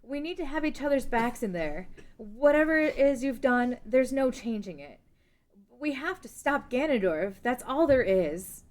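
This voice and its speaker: Woman playing a high-voiced, resolute princess. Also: expressive, normal speed, darkish tone. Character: resolute young princess leading her companions. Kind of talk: amateur table read of a game-based drama.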